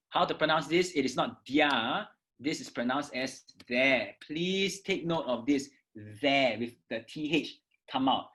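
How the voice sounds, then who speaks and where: Singaporean accent, mid-pitched voice, English oral teacher, instructional delivery, careful enunciation, emphatic stresses, frequent check-ins. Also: fairly bright tone. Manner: emphatic, deliberate enunciation of words and endings; instructive, often checking with "okay" and "right". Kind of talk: instructional lesson with read-aloud demonstrations.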